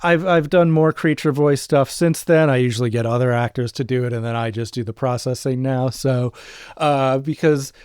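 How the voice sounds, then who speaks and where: A man's voice: mid-range voice, moderate pace, darkish tone, smooth, no room sound, studio quality. A middle-aged man co-hosting a podcast, chatting casually about producing audio drama.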